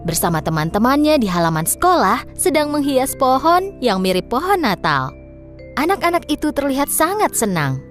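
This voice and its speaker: Lively woman narrating a children's story. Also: high-pitched, normal speed, faint music behind.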